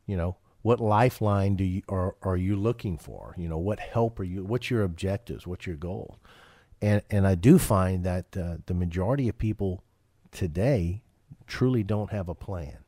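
A man's voice: low-pitched, moderate pace, dark tone, no echo, clean studio sound. A man hosting a financial radio show, talking casually and often restarting his sentences with repeated words.

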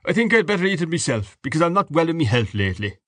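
Man acting a blustery, mocking family man, raised pitch. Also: very animated, fast, a bit bright, no room sound, studio quality. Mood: jovial, forced. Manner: blustery, sarcastic. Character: coarse, bitter, mocking Irish father at dinner.

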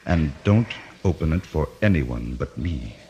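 An actor playing a gentle, protective country doctor in a radio drama, speaking in an earnest, coaxing manner. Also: male voice, extremely low pitch, expressive, a little slow, gravelly, highs cut off, slight background noise, full character voice. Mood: kind, apologetic.

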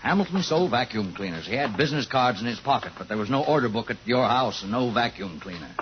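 An actor playing a weary but loyal police superior who goes by the book, in a 1950s radio thriller. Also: male voice, mid-range voice, expressive, fast, lightly acted. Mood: resigned, firm. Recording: muffled, slightly noisy vintage radio recording.

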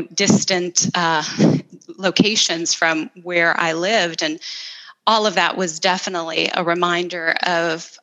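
A woman's voice: lowish voice, poor audio. A middle-aged woman from El Salvador, an architect talking through her background as an interview guest, with frequent restarts and fillers. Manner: warm, enthusiastic storytelling with frequent restarts and "um"s. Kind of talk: conversational interview.

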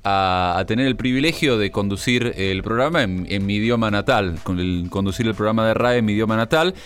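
Smooth-voiced radio host. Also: male voice, medium pitch. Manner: relaxed, conversational, with easy laughs. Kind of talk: radio conversation. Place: radio studio. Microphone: studio microphone.

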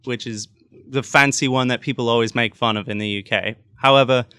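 A young man from England who now speaks with a Midwestern American accent, in casual chat. He halts and restarts often. His voice is smooth and resonant, heard close and dry. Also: mid-range voice, steady tone, a little fast, pushed and bright, no echo. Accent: Midwestern American, can switch to English. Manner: hesitant, many fillers and restarts. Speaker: intern.

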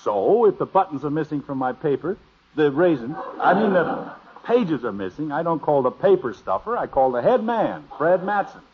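A man's voice: on the high side, big pitch swings, normal speed, lo-fi sound, background noise. An American actor playing a stubborn, teasing middle-aged father in a 1950s radio comedy, blustery and emphatic, on an old broadcast recording. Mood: exasperated.

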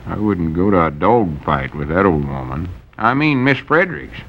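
Fussy bashful old storekeeper, drawl, very deep voice, folksy, flustered, muffled old radio recording. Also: male voice, very animated, moderate pace, exaggerated voice. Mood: grumbling. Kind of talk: 1930s-50s radio comedy acting.

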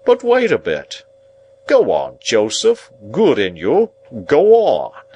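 A man's voice: fairly high pitch, huge pitch swings, slow, warm tone, dull audio, a little hiss or hum, voice pushed up, exaggerated voice. A man playing a pompous, self-important older uncle, emphatic and patronising, in an audiobook. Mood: knowing. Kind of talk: audiobook character voice.

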